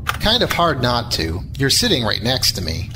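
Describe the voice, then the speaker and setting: Man playing a grumbling computer tech, lively intonation. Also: medium pitch, normal speed. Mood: dry, distracted. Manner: dry, sarcastic, put-upon. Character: grumbling, self-important male spaceship computer tech, dryly sarcastic. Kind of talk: audio drama acting. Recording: loud music bed under the voice.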